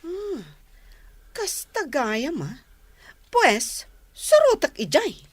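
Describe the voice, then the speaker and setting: A woman with an Ilocano accent playing a sheepish, hesitant wife in a radio drama, speaking haltingly. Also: very high-pitched, sing-song, no room sound, heavily acted. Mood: surprised, stubborn.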